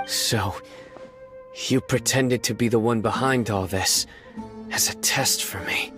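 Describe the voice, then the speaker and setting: Stern desert judge, measured and composed, deep voice, soft game music behind. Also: male voice. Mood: questioning. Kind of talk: video game cutscene voice acting.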